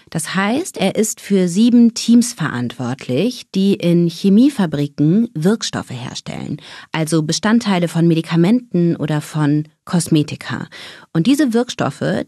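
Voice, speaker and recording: A woman hosting a podcast, giving a clear, scripted introduction and asking short prompting questions. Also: on the low side, warm tone, no echo, clean studio sound. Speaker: podcast host. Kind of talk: podcast interview.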